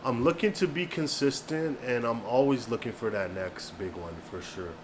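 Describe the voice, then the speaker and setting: A man in his thirties, a rapper guest, talking in a relaxed, low-key way that trails off softly in casual interview chat. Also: moderate pace, slight background noise. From Canada. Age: 30s.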